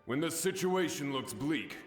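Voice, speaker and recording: A man playing a bold, loyal samurai fighter in a video game, with a gravelly voice and steadfast manner. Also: fairly high pitch, expressive, unhurried. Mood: reassuring, grave. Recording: echoing voice with music behind. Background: game music.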